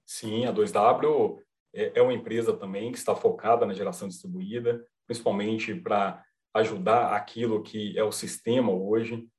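A man from Minas Gerais with a mineiro accent, calmly explaining a service as a podcast guest, checking in with "tá?". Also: on the low side, moderate pace. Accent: Mineiro Brazilian Portuguese. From Minas Gerais, Brazil. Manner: patient, reassuring explainer, many "tá?" checks. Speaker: company representative guest. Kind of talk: podcast interview, explaining a product.

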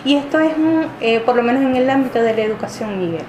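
A woman from Venezuela answers interview questions at length, pausing and restarting as she searches for words. Her voice sits a little high. The recording is roomy, with a clear noise bed behind her. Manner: hesitant, many fillers and restarts. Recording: echoey room with clear background noise.

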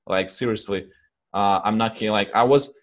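A man's voice: lowish voice, fairly even intonation, normal speed. A man from Ukraine with a Ukrainian accent, answering interview questions in a reflective way, often restarting and rephrasing. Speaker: guest.

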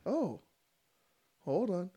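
A woman's voice: fairly low pitch, sing-song, drawn-out words, warm tone. A woman co-hosting a livestream podcast in casual, playful banter, acting out little quotes as she goes.

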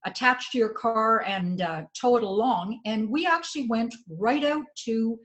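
An older woman from Canada with a Canadian accent, a guest on a podcast, telling stories from her past in a relaxed conversational way and remembering dates precisely. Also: fairly high pitch, measured pace. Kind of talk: casual conversation.

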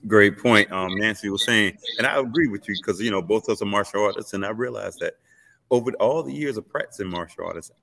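A man's voice: fairly low pitch, fairly quick, vocal fry, fairly bright tone. A man hosting an interview, asking questions hesitantly with many fillers. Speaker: interview host. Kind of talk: interview conversation. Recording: long hall reverb.

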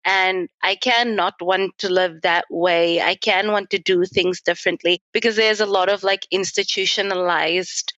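A woman's voice: medium pitch, fairly even intonation, normal speed, hardly any pauses, a bit bright, no room sound, lo-fi sound. A young woman from South Africa, a podcast guest, talking thoughtfully and earnestly about her identity. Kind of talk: reflective podcast interview.

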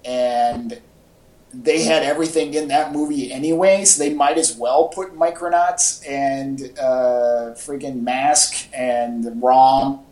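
A man co-hosting a casual podcast chat, speaking in a halting, informal fan style. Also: medium pitch, fairly even intonation, darkish tone. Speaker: podcast co-host. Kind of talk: casual chat about comics and movies. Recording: echoey, roomy podcast audio.

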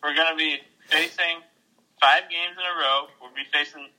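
A man's voice: fairly high pitch, steady tone, moderate pace, sharp tone, low-quality recording. A young man co-hosting a casual sports podcast, giving matter-of-fact game updates. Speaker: podcast co-host.

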